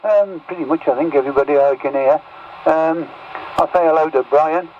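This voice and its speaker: A man's voice: a little high, fairly quick. A man, a radio operator, chatting casually over a CB radio transmission. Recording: muffled, very noisy CB radio.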